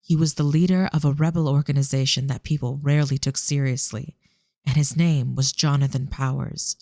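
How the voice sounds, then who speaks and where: A narrator reading a scripted audio drama in a measured, descriptive storytelling manner. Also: medium pitch, fairly even intonation, darkish tone, no room sound, studio quality.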